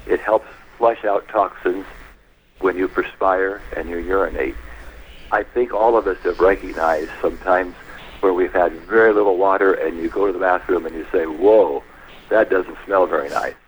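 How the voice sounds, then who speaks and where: A man guest on a radio talk show, explaining health matters in a measured, anecdotal way, step by step. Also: low-pitched, slight background noise, low-quality recording.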